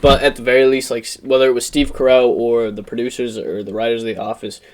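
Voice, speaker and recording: A man's voice: medium pitch, flat delivery, fast, darkish tone. Emphatic young man chatting casually. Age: young adult. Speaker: podcast co-host. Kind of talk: casual chat among friends.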